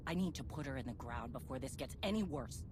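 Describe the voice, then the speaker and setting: A woman's voice: very deep voice, animated, fast talker, noisy background. A woman playing a proud, tough warrior leader in a video game cutscene, speaking in a commanding, terse and resolute manner. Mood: grim resolve. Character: proud, tough female Mandalorian warrior leader, wounded but defiant.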